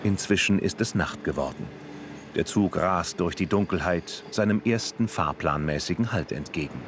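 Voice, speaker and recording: A man narrating a travel documentary in a calm, even storytelling manner, reading a script. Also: sits low, moderate pace, background noise. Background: music.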